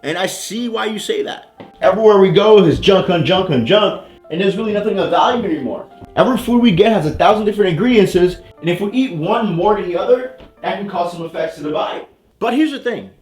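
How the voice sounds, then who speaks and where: A man's voice: sits high, lively intonation, a little fast, a little mellow, soft background music. A young man from Haiti, a fitness coach, giving a blunt motivational talk with casual slang.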